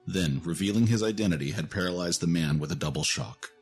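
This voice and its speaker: A man's voice: deep voice, fast talker. A man narrating a scripted fantasy story as the narrator of an audio drama. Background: music.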